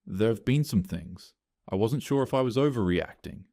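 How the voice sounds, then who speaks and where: A man's voice: on the low side, lively intonation, moderate pace, creaky, warm tone, no echo, clean studio sound. A man reading the lines of an upset young female office employee, hesitant and aggrieved.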